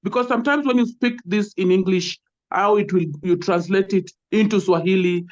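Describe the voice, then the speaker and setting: A woman's voice: mid-range voice, normal speed. A woman from Kenya with a Kenyan accent, whose first language is Luo, speaking earnestly as a webinar panelist and laying out her points one by one. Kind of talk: online panel talk. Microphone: video call. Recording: dull lo-fi video call audio.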